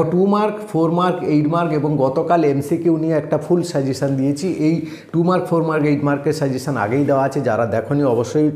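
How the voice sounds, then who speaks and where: A man's voice: on the high side, mellow. A man teaching exam revision, reading out questions and answers and directly instructing his students as he goes. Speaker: exam-prep teacher and presenter.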